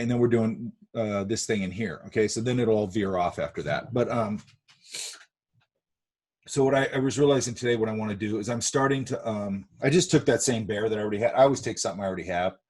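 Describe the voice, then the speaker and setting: A man's voice: lowish voice, a little mellow, breathy edge. A man teaching an online illustration class, rambling with many fillers and restarts, sniffly from a cold, on a video call. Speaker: art instructor.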